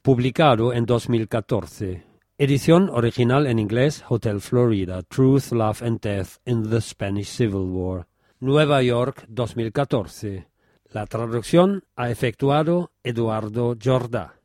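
A man's voice: mid-range voice, a little slow, darkish tone, no room sound, clean studio sound. A man reading scripted announcements as a radio host.

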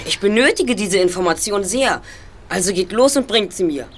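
A woman's voice: mid-range voice, animated, moderate pace, natural voice. A woman playing a bossy, self-assured teenage boy detective leader who speaks in precise, formal wording, in a German audio drama. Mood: commanding.

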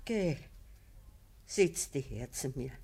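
An actress playing an anxious, pious and ailing Bavarian peasant mother in a radio play, gently pleading in a husky voice. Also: a bass voice, animated, takes it slowly, full character voice. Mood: gentle pleading. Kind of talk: German radio drama acting.

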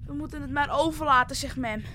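Lady doing a grim young boy, really high voice, noisy behind. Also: quick pace, close to an everyday voice. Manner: urging, grim. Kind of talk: radio drama acting.

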